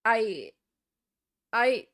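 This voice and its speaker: A woman's voice: on the high side, very animated, very slow, fairly bright tone. A woman giving a casual, rambling opinion rant as a gaming video creator, often hesitating and repeating herself.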